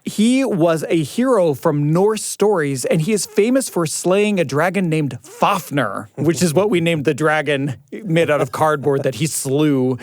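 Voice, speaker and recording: A man's voice: a little high, a little slow, hardly any pauses, darkish tone. A man hosting a family story podcast, mixing scripted announcements with casual discussion. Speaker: podcast host. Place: dry studio. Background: light music.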